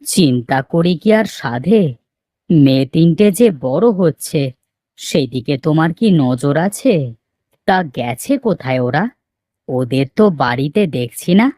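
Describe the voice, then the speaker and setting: A grumbling, henpecked village husband in a cartoon, acted by a woman with a low voice. The delivery is complaining and very animated, recorded close and dry. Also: a little slow, no echo. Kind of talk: cartoon story dialogue.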